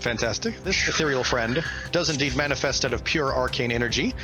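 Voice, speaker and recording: A man's voice: mid-range voice, brisk, poor audio. A man narrating a tabletop game as game master in an enthusiastic, theatrical way. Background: music.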